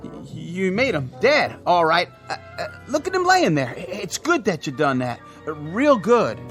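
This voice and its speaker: A man's voice: high voice, wildly swooping pitch, moderate pace, fairly bright tone, soft background music, heavily acted. A man playing a frightened small-town grocery delivery man in an audio drama, stammering and nervously agreeing. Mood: nervous, fawning.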